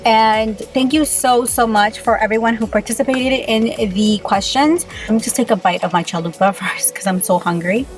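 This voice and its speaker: A woman's voice: a little high, normal speed, few pauses, soft background music. A young woman from Peru, chatting casually to her vlog audience while eating and often saying "you guys". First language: Spanish. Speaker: beauty YouTuber. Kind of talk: casual mukbang vlog chat.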